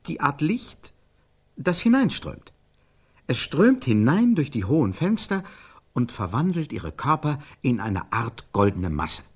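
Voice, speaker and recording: A man's voice: medium pitch, huge pitch swings, measured pace, husky, exaggerated voice. An actor playing an intense scriptwriter who improvises a melodramatic scene in a stylised radio play, searching for the words as he narrates. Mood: excited, searching. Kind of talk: stylised radio drama acting.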